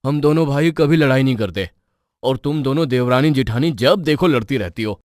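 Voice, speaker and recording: A man's voice: mid-range voice, lively intonation, normal speed, a little mellow, no room sound, studio quality, lightly acted. A man playing an exasperated young adult son in a cartoon story, aggrieved and reproachful. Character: exasperated adult son caught between quarrelling women. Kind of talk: Hindi cartoon story acting.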